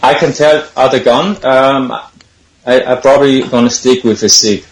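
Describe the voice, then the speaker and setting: A man from Switzerland with a Swiss accent, calling in to a radio show and answering plainly and calmly. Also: medium pitch, normal speed, reverberant, rough recording. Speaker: caller. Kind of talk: phone-in interview.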